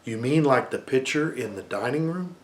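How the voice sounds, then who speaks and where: A man's voice: mid-range voice, animated, moderate pace, reverberant, close to an everyday voice. Man reading as a pushy, accusing young brother. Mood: doubtful. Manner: pressing, accusing. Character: challenging, know-it-all young brother.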